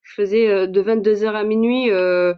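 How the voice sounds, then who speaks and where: A woman's voice: medium pitch, fairly even intonation, normal speed, smooth, lo-fi sound. A French woman hosting a livestream, outspoken and hesitant with many fillers, speaking with a Parisian French accent. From France. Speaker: livestream host and author. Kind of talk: casual livestream talk.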